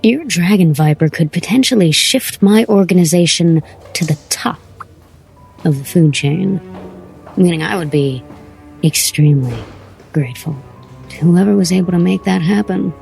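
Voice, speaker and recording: A scheming female crime boss in an audio drama, acted by a woman, speaks in a low voice with plenty of fry. She is coy, smug and measured, with music playing underneath. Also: a little mellow, poor audio. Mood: smug, enticing.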